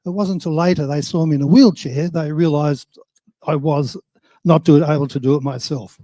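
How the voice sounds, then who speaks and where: Older man, Australian accent, high voice, dry close sound, informal conference speaker, wry, plain-spoken. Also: steady tone, normal speed, dark tone, dry recording.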